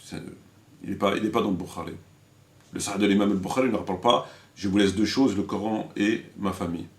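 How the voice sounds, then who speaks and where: Male commentator, slightly deep voice, unscripted and halting delivery, indignant emphasis, addressing online viewers. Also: steady tone. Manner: halting and repetitive, searching for words. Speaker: religious commentator and video presenter. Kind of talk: informal spoken critique addressed to viewers.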